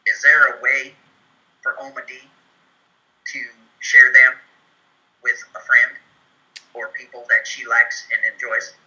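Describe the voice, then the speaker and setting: A man playing a simple, earnest Southern fellow with a put-on accent, speaking in a folksy, deliberate deadpan for a comedy tutorial. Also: mid-range voice. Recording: muffled, telephone-like band-limited sound.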